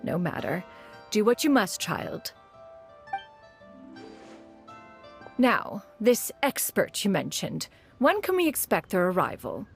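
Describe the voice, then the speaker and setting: A woman's voice: mid-range voice, sing-song, a little slow, gravelly, soft background music. A woman playing a proud, dignified mentor in an anime game, speaking in a formal, stately way. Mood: amused, composed. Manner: formal, stately, archaic phrasing. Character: dignified, proud immortal woman mentor, haughty yet caring.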